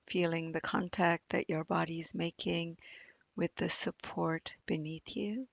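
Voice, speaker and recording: A woman meditation teacher leading a guided practice in a gentle, soothing manner, leaving long pauses between phrases. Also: lowish voice, fairly even intonation, gravelly, no echo, muffled recording. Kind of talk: guided body scan meditation.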